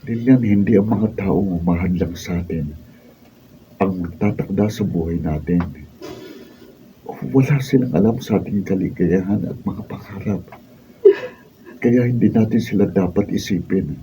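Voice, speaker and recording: Devoted young husband, Filipino accent, low mellow voice, solemn radio drama delivery, distant noisy recording. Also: male voice, moderate pace, long pauses. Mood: pleading, resolute. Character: earnest, steadfast young husband and father defending his love. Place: recording studio, behind-the-scenes camera recording. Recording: roomy distant mic, faint background noise.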